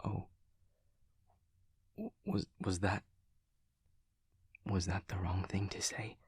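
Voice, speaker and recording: A man playing an awkward, earnest young demon classmate, speaking softly and breathily with hesitant stammers and stiffly formal wording in an intimate audio roleplay. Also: low voice, big pitch swings, takes it slowly, full character voice. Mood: anxious, apologetic. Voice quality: soft, breathy, husky. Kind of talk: intimate audio roleplay, whispery ASMR-like acting.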